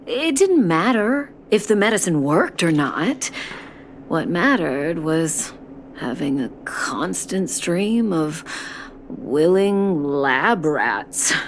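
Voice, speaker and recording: A woman's voice: fairly low pitch, sing-song, slow pace, slight background noise, heavily acted. A woman playing a bitter, skeptical patient in a video game, blunt and dismissive with small hesitations. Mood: bitter, resigned. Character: bitter, skeptical adult patient, cynical about a doctor.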